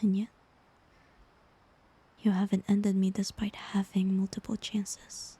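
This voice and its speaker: Girl doing a sad tender bard for fantasy romance asmr, super breathy and whispery, slow and wistful. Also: medium pitch, monotone, full character voice. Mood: wary, sad. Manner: slow, gentle, wistful delivery with pauses. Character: tender, heartbroken woman bard facing her former lover. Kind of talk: intimate ASMR romantic fantasy audio roleplay.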